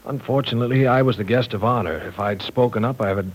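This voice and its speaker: An actor playing an earnest, apologetic professor in an old-time radio drama, with a formal, hesitant and courteous manner. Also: male voice, mid-range voice, quick pace, breathy edge, lightly acted. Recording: noisy vintage radio drama recording.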